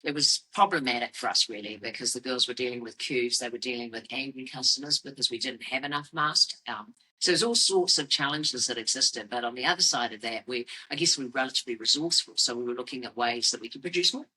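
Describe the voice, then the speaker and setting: A middle-aged woman with a New Zealand accent and a husky voice, telling a business story conversationally in measured, explanatory phrases. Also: very deep voice, bright, forceful tone, low-quality recording. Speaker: fashion business founder on a company podcast.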